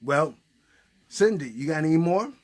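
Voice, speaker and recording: A man's voice: a little high, lively intonation, moderate pace, a little mellow. A man hosting an improvised puppet show, prompting a story along with conversational questions. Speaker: puppeteer host.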